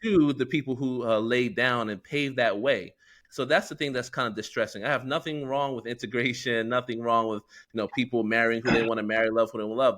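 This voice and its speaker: A man's voice: medium pitch, fairly bright tone. A middle-aged American man co-hosting a podcast, talking earnestly and reflectively with plenty of fillers. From United States. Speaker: podcast co-host. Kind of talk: casual podcast discussion.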